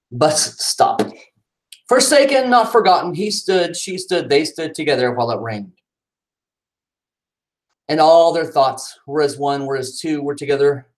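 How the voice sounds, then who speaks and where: A man at home chatting casually about his cat, then reading his own poems aloud with swooping, dramatic delivery. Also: poor audio. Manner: casual aside then dramatic poetry reading with pitch swings. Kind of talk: casual chat and poetry reading.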